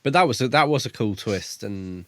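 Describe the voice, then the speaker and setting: A man with a fairly low voice, recorded dry and close. He chats casually as a podcast co-host, feeling his way thoughtfully through each point with pauses. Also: expressive, no room sound. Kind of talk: casual book discussion chat.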